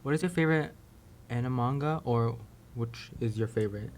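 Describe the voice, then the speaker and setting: A man's voice: mid-range voice, fairly quick, darkish tone, slight background noise. A young man co-hosting a casual podcast, introducing it and putting questions to the guest. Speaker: podcast co-host.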